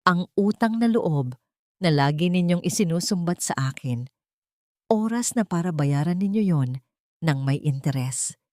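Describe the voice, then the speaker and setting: A woman voicing a calm, cold young heiress and mother who speaks low, slow and close to a whisper. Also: dry recording. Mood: icy whisper.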